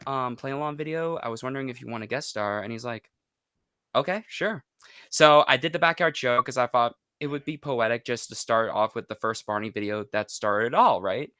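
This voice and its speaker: Bright, clear American man chatting. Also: on the high side, no room sound, studio quality. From United States. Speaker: podcast guest, online video creator. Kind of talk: casual chat, telling anecdotes.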